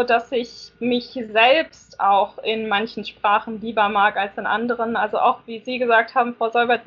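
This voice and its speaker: A woman's voice: fairly high pitch, fairly bright tone. A woman giving a thoughtful, hesitant contribution to a discussion, with frequent fillers. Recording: dull lo-fi recording.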